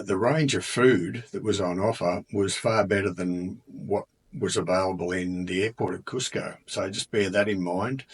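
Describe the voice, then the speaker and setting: Husky Australian man with a casual travel chat. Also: sits low. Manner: relaxed, chatty, many ums and uhs, self-mocking. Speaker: travel vlogger.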